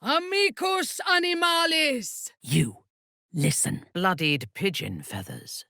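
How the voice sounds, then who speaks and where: An actress playing an imperious, ruthless dark elf warrior priestess in a video game, with a haughty, commanding manner and formal, measured phrasing. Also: female voice, a little high, lively intonation, dry recording, clean studio sound, exaggerated voice. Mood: fierce, commanding. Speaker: video game companion character. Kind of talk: dramatic video game voice acting.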